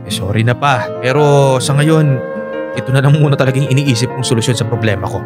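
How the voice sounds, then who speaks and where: An actor playing a troubled, defensive young Filipino husband and son in a radio drama. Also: male voice, mid-range voice, fast, close to an everyday voice. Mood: weary, reluctant. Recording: studio drama with clear music bed.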